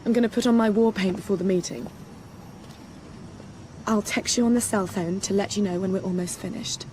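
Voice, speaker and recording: A woman's voice: medium pitch, steady tone, fairly quick, darkish tone, a little hiss or hum, natural voice. Woman acting as breezy, flirtatious socialite spy. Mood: playful. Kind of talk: naturalistic cinematic video game acting.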